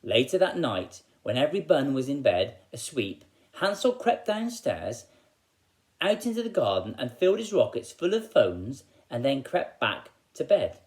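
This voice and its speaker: A man with an English accent talks to children like a primary school teacher. His pitch moves about in a lively way, around an average male register. The delivery is expressive and made for storytelling. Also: normal speed.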